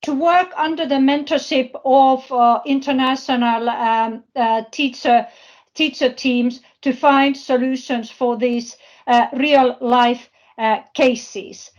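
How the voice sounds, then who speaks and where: A woman giving an unscripted presentation at an online business forum, speaking haltingly and often searching for words. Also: sits high, fairly even intonation. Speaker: presenter, university project representative. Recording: dull, lo-fi online call audio.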